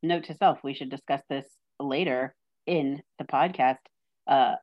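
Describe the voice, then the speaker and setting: A woman's voice: extremely low pitch, fairly even intonation, unhurried, dull audio. A woman from Texas chatting as a podcast guest, joking and poking fun at herself. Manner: joking, self-deprecating storyteller. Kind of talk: casual chat.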